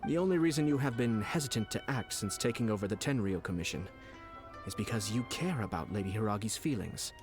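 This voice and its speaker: A courteous, calculating male aristocrat, played by an actor, speaks in a low, composed voice. His game dialogue is formal and persuasive, measured over background music. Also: lively intonation, close to an everyday voice. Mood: shrewd, composed. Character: calm, shrewd, courteous noble commissioner, male strategist. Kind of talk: video game voice acting.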